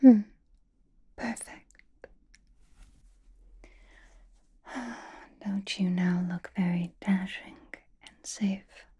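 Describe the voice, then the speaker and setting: A woman playing a seductive, possessive female vampire in an ASMR roleplay, teasing and doting in a soft, breathy whisper. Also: fairly low pitch, steady tone, heavily acted. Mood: fond, scolding. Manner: teasing, doting, slow and deliberate.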